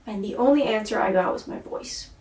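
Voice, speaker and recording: A woman's voice: medium pitch, brisk, darkish tone. A woman recording a rambling, introspective video diary, swearing and sighing often, with a voice she says is tired and her throat sore. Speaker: vlogger and singer. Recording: echoey room, far from mic.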